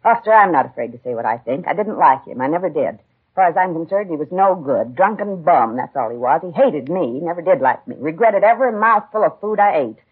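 A woman's voice: deep voice, animated, a little fast, breathy edge. An actress playing a bitter, stubborn older woman in a 1950s American radio drama, blunt and querulous with folksy grammar. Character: bitter, stubborn, complaining old mother-in-law. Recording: tinny, narrow-band 1950s radio recording.

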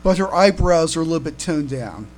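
A man co-hosting a casual fan podcast, speaking haltingly and often repeating his words as he comments. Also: on the high side, lively intonation, darkish tone. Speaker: podcast co-host. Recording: noisy home recording with hum.

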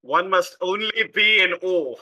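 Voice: mid-range voice, animated, a little slow, sharp tone, dry recording. A player from South India who designs games, talking in a playful, theatrical and grandiose way. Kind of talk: casual podcast chat.

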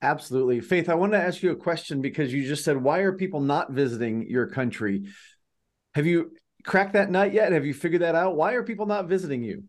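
A man's voice: fairly high pitch, animated, brisk. American man, casual podcast co-host. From United States. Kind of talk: casual conversational podcast talk.

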